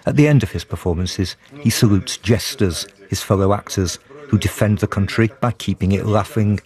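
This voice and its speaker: Male correspondent, low voice, reading a scripted radio report. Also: normal speed, a little mellow. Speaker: foreign correspondent. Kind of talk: scripted news report narration.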